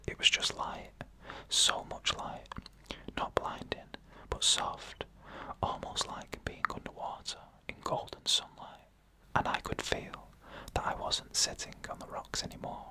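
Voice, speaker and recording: A narrator telling a scary story in a breathy, unvoiced ASMR whisper, in short phrases with frequent pauses.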